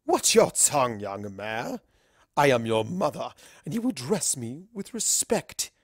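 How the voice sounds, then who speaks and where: A woman voicing an imperious evil princess mare and stern mother in a fan fiction reading, pompous, slow and grandiose. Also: extremely low pitch, sing-song, dry recording, clean studio sound, heavily acted. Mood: stern, scolding.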